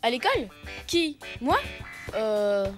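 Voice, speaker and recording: A woman's voice: a little high, sing-song, slow pace, music in the background. A woman playing an anxious, mischievous schoolboy elephant in a children's cartoon, trying hard to be good. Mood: nervous. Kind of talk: French-dubbed children's cartoon acting.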